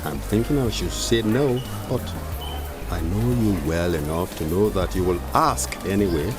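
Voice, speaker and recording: A man's voice: low-pitched, normal speed, over music, close to an everyday voice. An actor playing a good-humoured married man in a radio drama, joking in a dry, wry way.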